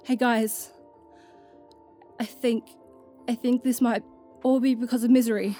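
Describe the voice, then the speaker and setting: A woman's voice: a little high, flat delivery, measured pace, warm tone, faint music behind, natural voice. A woman playing an anxious but determined, self-doubting young adventurer in an audio drama, speaking in a hesitant, plain way. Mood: nervous resolve.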